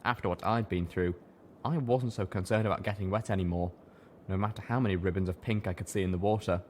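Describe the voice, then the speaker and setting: A man narrating a first-person fantasy audiobook in a steady storytelling manner. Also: low-pitched, quick pace, a little hiss or hum.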